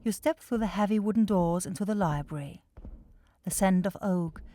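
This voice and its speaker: A woman's voice: medium pitch, normal speed, dark tone. A woman reading a scripted story as the narrator of an ASMR roleplay, close to the microphone.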